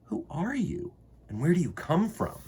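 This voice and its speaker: A man's voice: sits high, wildly swooping pitch, normal speed, a little mellow, husky, pitched above the performer's own voice, full character voice. A man voicing a bossy, taunting village child in a storybook, jeering and challenging. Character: taunting village children, a bossy red-haired boy among them.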